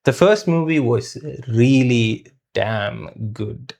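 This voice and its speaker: A man talks through a film review as a host in casual conversation. His voice sits at a medium pitch. He is thoughtful and hesitant, feeling his way toward each judgement. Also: expressive, takes it slowly, creaky, a little mellow.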